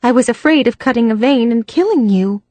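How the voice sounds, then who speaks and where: A plucky young American woman, voiced by a woman narrator, speaks earnestly with a faint worry underneath. Her voice sits higher than the narration, and the recording is dry and close. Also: animated, fairly quick, mellow, no room sound, natural voice.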